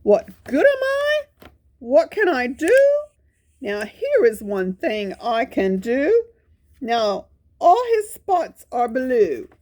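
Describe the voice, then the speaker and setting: A woman's voice: fairly high pitch, wildly swooping pitch, slow, darkish tone, a little hiss or hum, full character voice. A woman voicing an eager, pleading spotted leopard from a children's storybook, emphatic and repetitive. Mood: pondering. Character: eager, pleading young male spotted leopard wanting acceptance. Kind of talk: children's storybook character reading.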